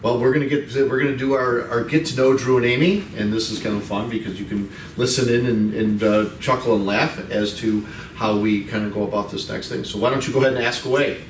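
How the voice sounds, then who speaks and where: A middle-aged man hosting a casual office talk show, easygoing and halting, with fillers and restarts. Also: medium pitch, steady tone. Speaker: host. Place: office lounge set with sofas. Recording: roomy, distant mic with faint noise.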